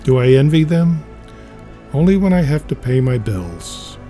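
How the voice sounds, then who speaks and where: A man's voice: medium pitch, very animated, unhurried, warm tone, soft background music. A man giving a scripted narration, reading a memoir-style comic aloud.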